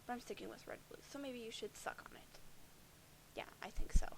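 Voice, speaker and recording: A woman's voice: a little high, fairly quick, creaky, background noise. A woman voicing a bitter, foul-mouthed alien troll boy who sees himself as a prophet of doom, sardonic and defensive.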